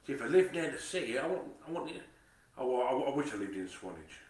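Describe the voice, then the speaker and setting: A man's voice: medium pitch, sing-song, fast, echoey room, recorded from a distance. A man with a Nottingham accent, rambling in a monologue to camera, swearing constantly, repeating himself and stumbling over his words. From England. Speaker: vlogger.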